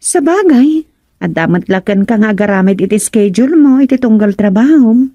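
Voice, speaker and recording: A woman's voice: a little high, lively intonation, normal speed, dark tone, no echo, highs cut off. A woman acting a teasing, hospitable village captain's wife in an Ilocano radio comedy drama, chatty and homely.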